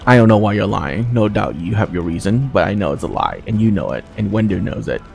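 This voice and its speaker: A bitter young male fighter, read out by a man playing a fantasy game, with a low, creaky voice. He is accusing and insistent, his pitch swinging with sarcasm. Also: sing-song, fairly quick, darkish tone. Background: game music.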